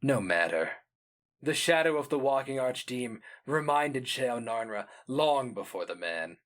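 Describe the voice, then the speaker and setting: A man giving a grave, measured, theatrical reading of epic fantasy as the narrator. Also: medium pitch, expressive, fairly bright tone.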